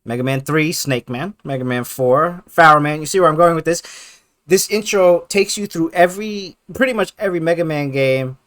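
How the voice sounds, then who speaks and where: A man giving casual, enthusiastic video game commentary in a gushing, excitable manner with emphatic repetition and swearing. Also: a little high, moderate pace, no room sound. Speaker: video creator presenting a top five list.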